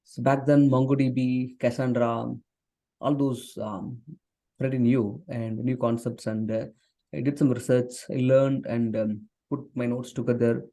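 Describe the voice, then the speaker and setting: This is a man from southern India, a native Tamil speaker, talking in an unhurried podcast interview with a mellow, warm tone. His pitch sits in a middling range. He talks earnestly and often pauses on fillers and restarts. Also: level tone. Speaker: guest, software engineer and author. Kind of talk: conversational interview.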